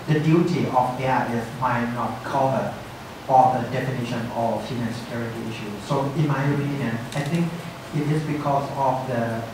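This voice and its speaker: A male lecturer answers audience questions in a large conference room. He hesitates and restarts often, and the voice comes from far off in a very echoey space. A steady hiss sits under it. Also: medium pitch. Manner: hesitant, searching for words, many restarts. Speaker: guest lecturer. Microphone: far camera. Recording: echoey hall heard from far off, noisy.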